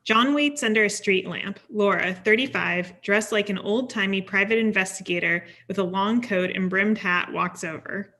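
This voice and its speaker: A woman's voice: mid-range voice, normal speed, hardly any pauses, pushed and bright. A woman reading stage directions as scripted narration, neutral and matter-of-fact. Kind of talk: scripted narration at a table read.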